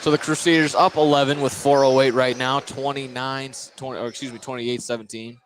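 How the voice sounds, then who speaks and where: Male basketball play-by-play announcer, medium pitch, halting repeated phrases, live radio call, noisy gym ambience. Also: level tone. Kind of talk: live sports radio commentary.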